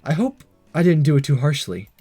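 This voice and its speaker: Male let's player, slightly high animated voice, reads visual novel text casually with sarcastic asides, faint music behind. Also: brisk, slightly rough. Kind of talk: reading visual novel aloud with casual commentary.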